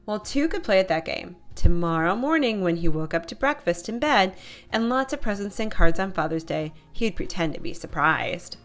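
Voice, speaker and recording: A woman's voice: medium pitch, expressive, normal speed. A woman narrating a children's picture book as a read-aloud storyteller. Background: music.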